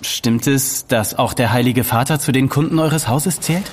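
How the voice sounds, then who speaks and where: A man's voice: mid-range voice, moderate pace, a little hiss or hum, natural voice. Eager young apprentice played by a man. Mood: earnest, deferential. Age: young adult. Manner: earnest, polite, formal diction. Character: eager, deferential young apprentice bookkeeper, ambitious. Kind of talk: German audio drama acting.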